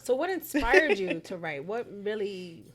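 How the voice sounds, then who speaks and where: Lively-pitched upbeat woman host. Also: a little slow, crowd noise. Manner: upbeat, hyped, slangy. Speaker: podcast host. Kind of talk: casual interview chat.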